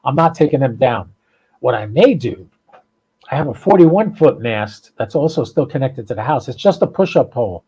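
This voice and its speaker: A man's voice: medium pitch, lively intonation, normal speed, warm tone, slightly rough, rough recording. An American man hosting a casual, unscripted livestream, talking in a folksy, rambling, informal way. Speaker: podcast and livestream host.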